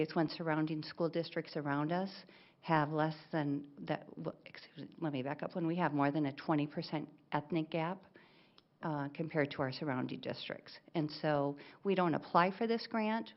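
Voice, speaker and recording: A woman's voice: low voice, normal speed, muffled recording. A woman school administrator giving a plainspoken presentation at a board meeting, stopping now and then to correct herself.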